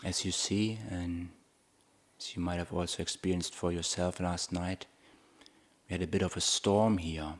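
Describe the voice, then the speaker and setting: A man with a low-pitched voice leads a guided meditation. He speaks very slowly, setting out short phrases one at a time. Long, measured pauses separate his thoughts, as a monk teaching would leave them. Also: steady tone.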